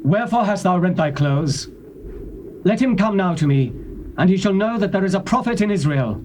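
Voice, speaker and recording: An English actor playing a stern, righteous older prophet, speaking in a grave, measured way. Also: male voice, sits high, expressive, darkish tone, a little hiss or hum. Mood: solemn.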